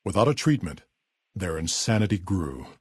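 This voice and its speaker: Big super mutant boss for a video game, deep raspy voice, super dry sound, blunt and weary. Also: male voice, big pitch swings, no echo, full character voice. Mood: somber, narrating. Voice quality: deep, gravelly, husky. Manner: blunt, measured, authoritative. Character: gruff, weary, level-headed super mutant town leader. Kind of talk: video game character voice lines.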